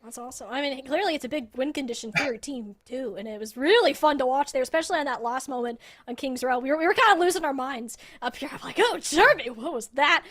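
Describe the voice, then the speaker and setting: A woman's voice: high-pitched, fast, few pauses, fairly bright tone. A woman hosting a live esports broadcast and interviewing in a chatty, casual way. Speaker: esports desk host.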